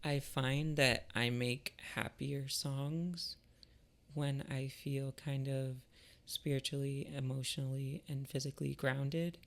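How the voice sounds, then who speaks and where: A man talking in a casual, reflective monologue, thoughtful and halting, with a creaky voice. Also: medium pitch, little pitch movement. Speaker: artist and musician vlogger.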